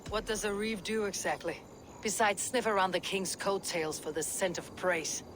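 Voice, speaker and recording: A woman's voice: medium pitch, steady tone, moderate pace, fairly bright tone, a little hiss or hum, natural voice. An actress playing a fearless, stoic Dane warrior woman, terse and dryly skeptical. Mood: skeptical, blunt. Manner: terse, dry, guarded. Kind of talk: video game character acting.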